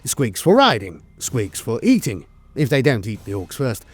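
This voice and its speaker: A man's voice: medium pitch, wildly swooping pitch, moderate pace, husky, dry recording. A man narrating a scripted piece of fantasy lore in a dramatic storytelling manner.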